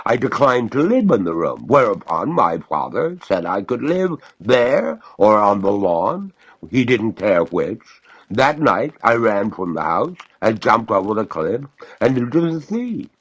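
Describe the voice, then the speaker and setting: Man playing a doleful lady water ghost, haughty, slow drawn-out phrasing, highly animated pitch, slightly husky, storybook character. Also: heavily acted, rough recording. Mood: mournful, aggrieved. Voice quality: gurgling. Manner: slow, drawn-out, formal and theatrical.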